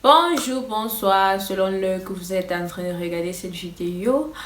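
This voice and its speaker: A woman's voice: mid-range voice, unhurried, a bit bright, roomy sound, recorded from a distance, slight background noise. A woman whose first language is English teaching English in casual French, hesitant, with many fillers and a nervous, stop-start delivery. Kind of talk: casual vlog-style lesson.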